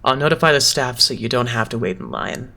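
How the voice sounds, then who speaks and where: A man acting a poised, condescending young idol leader in an anime-style dub, measured and theatrical. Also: medium pitch, sharp tone. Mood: lofty, patient.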